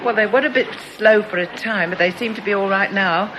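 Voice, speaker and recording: A woman's voice: medium pitch, a little fast, lightly acted. Woman playing helpful shop assistant, chatty, in a radio play with noisy background. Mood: chatty, polite. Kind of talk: British radio drama acting.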